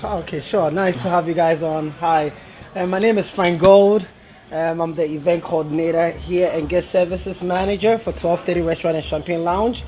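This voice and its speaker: A man's voice: sits high, fairly even intonation, moderate pace, darkish tone, slight background noise. A young man from Ghana, an event coordinator, explaining his restaurant to an interviewer in a friendly way, sometimes repeating words. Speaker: event coordinator and guest services manager. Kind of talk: interview guest. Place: upstairs lounge of a restaurant.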